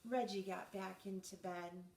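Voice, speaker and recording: A woman reading a children's bedtime story aloud in an expressive, dramatizing way. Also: mid-range voice, unhurried, vocal fry. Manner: animated, dramatizing dialogue for children. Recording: roomy echo, distant mic.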